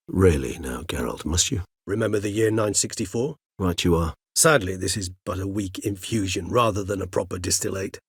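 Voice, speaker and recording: An ancient vampire gentleman for a video game, with a low, husky-edged voice in a very dry, close recording. He is courteous and erudite, choosing formal, measured words. A melancholy, philosophical wryness runs through his speech. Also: no room sound, full character voice. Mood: wry, thoughtful. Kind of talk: video game character dialogue.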